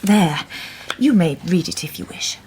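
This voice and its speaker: An actress playing a poised, shrewd Victorian lady detective in a radio drama, speaking softly and breathily, half in a whisper. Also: fairly low pitch, expressive, unhurried. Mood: measured, knowing.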